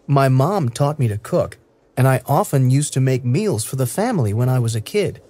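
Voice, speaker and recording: A man reading short first-person lines slowly and clearly for English learners. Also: medium pitch, animated, warm tone. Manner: clear, careful, evenly paced delivery. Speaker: English listening practice reader. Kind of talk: slow scripted reading for learners.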